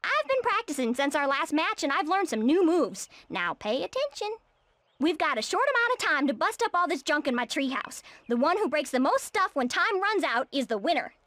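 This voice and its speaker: A woman's voice: high-pitched, sing-song, normal speed, a bit bright, dry recording. A woman voicing a feisty, sporty squirrel in a cartoon video game, with a folksy, brash Texan drawl. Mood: competitive, confident. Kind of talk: animated video game voice acting.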